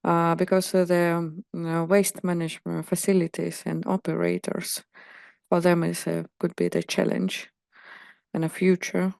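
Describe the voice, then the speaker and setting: Gravelly woman from Finland, hesitant. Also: on the low side, little pitch movement, moderate pace, darkish tone, dry recording, clean studio sound. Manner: hesitant, many fillers. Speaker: workshop participant. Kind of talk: online meeting question.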